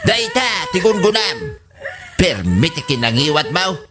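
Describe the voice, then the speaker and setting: Man acting a high-pitched, exasperated scolding father. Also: huge pitch swings, slow, exaggerated voice. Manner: scolding, emphatic. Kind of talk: comedy radio drama acting.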